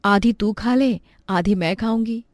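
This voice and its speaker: A woman voicing a poor, loving mother who coaxes gently in a storybook tale. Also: mid-range voice, measured pace, no echo, clean studio sound, natural voice. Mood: tender. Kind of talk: storybook character acting.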